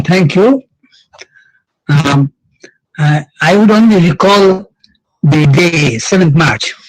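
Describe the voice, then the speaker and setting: An older man recounts the past at an event in a slow, unhurried delivery. His voice sits a bit high for a man, and he halts often on fillers and restarts his sentences as he gathers his thoughts. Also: rough recording. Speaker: event speaker. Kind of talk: reminiscing speech.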